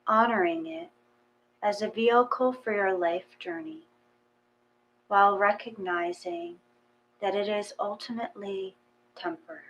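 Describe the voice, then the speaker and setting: A woman reading a gentle guided meditation in a calm, measured manner, with long pauses between short phrases. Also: mid-range voice, lo-fi sound, a little hiss or hum.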